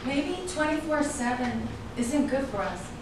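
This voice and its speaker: A woman's voice: high-pitched, expressive, measured pace. An actress playing an anxious, worn-down woman afraid of her volatile lover in a staged play reading. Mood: guarded. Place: echoey indoor room at a staged reading. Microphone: distant microphone. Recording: distant echoey room, clearly noisy.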